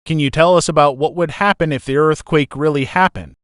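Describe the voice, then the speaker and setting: A man with a fairly high voice plays news anchor in an amateur scenario. He is formal and polite, with non-native phrasing. The recording is very dry. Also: brisk, fairly bright tone, no echo. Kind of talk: mock breaking-news presenting.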